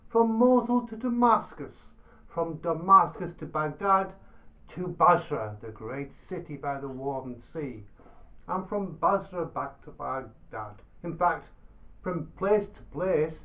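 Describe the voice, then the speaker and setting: Slow, thin-sounding male storyteller. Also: on the high side, expressive, narrow, tinny audio. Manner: dramatic, deliberate, with pauses and repetition. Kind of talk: theatrical oral storytelling of a folk tale.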